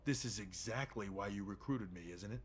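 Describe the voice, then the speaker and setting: Husky, scheming male wrestler. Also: lowish voice, a little fast. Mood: calculating, smug. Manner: calculating, self-assured. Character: scheming, confident male pro wrestler, persuasive veteran. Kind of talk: scripted video game cutscene dialogue.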